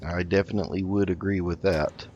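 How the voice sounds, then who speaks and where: A man giving casual, in-character gameplay commentary, politely and hesitantly, rambling along with many pauses. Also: sits low, fairly even intonation, a little mellow. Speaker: game roleplay video host. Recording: dull lo-fi mic with background noise.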